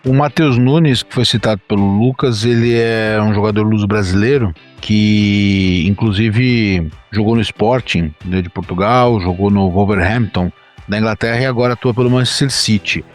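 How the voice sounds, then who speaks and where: A man's voice: fairly low pitch, moderate pace, faint music behind. A man from Brazil hosting a radio interview, conversational and prompting with tag questions. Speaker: radio host. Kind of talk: casual interview.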